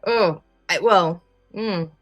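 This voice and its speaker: A woman chats casually as a podcast co-host. Her voice is a little low. The sound is dry, with faint music underneath. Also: slow, no echo. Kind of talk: casual chat.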